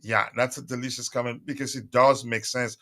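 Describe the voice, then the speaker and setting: A man's voice: mid-range voice, fairly even intonation, brisk, pushed and bright. A man hosting a casual live stream, chatting with viewers and reading their comments, with lots of fillers and his voice a little strained. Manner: chatty, many fillers, repeats calls for likes. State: voice strained, recovering. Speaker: livestream host.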